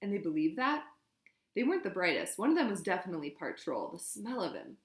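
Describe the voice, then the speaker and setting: A woman reading a storybook, voicing a defensive teenage boy who shouts back and talks fast. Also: lowish voice, big pitch swings, roomy sound.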